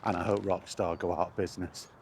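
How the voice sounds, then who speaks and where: Indignant ranting man, low voice. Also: flat delivery, fast, vocal fry, darkish tone, slight background noise. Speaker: gaming vlogger. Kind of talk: casual spoken rant.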